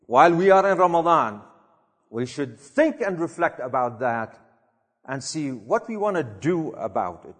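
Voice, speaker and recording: A man delivering a Friday sermon, chanting Arabic verses quickly and then translating, swinging between loud urging and quiet reflection. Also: fairly high pitch. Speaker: preacher giving a Friday sermon. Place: mosque prayer hall. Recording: long hall reverb.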